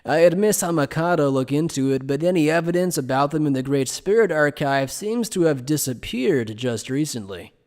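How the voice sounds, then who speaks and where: A man playing an evasive, playful scholar who explains things in a polite, measured, teasing way in an anime fan dub. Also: a little high, animated, a little mellow, natural voice. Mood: measured, probing. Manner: polite, measured, teasing lecturer with coy understatement.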